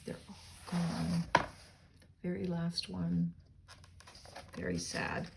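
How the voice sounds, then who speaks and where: A woman reading a children's story aloud expressively, pausing for simple asides to young listeners. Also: fairly low pitch, flat delivery, vocal fry, background noise. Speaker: storybook reader.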